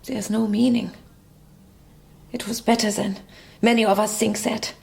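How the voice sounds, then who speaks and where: A somewhat high, creaky-voiced East German woman, middle-aged, proud and bitter. She is curt and stiffly formal, in radio drama style. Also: steady tone, measured pace.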